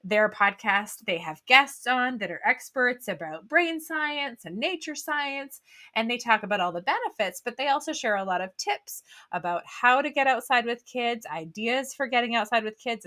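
Upbeat woman podcast host, bright voice. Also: a little high, lively intonation, moderate pace. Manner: upbeat, chatty, laughs often. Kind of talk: casual podcast interview.

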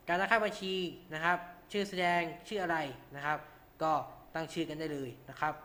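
High-voiced man explaining step by step. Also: bright, forceful tone. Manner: frequent polite particles and check-back phrases, talking through each step. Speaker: radio show host. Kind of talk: informal step-by-step tutorial, explaining live.

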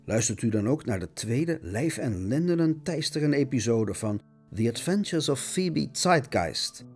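A man's voice: mid-range voice, big pitch swings, unhurried, a little mellow, slightly rough, soft background music. A man narrating a scripted radio essay in a melodramatic, mock-dramatic, declamatory manner.

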